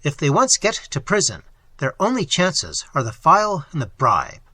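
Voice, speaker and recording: Gallant highwayman leader, clipped and in command, read by a man. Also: huge pitch swings, normal speed, sharp tone, dry recording, exaggerated voice. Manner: crisp and commanding. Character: bold gentleman highwayman captain, commanding.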